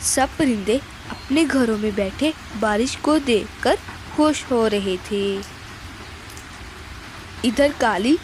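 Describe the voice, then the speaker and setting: A woman's voice: on the high side, expressive, takes it slowly, slight background noise, low-quality recording. A woman narrating a scripted children's story.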